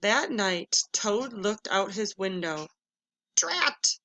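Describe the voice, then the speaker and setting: Bright-voiced woman teacher, very expressive. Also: mid-range voice, a little slow. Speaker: elementary school teacher. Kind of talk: children's read-aloud lesson.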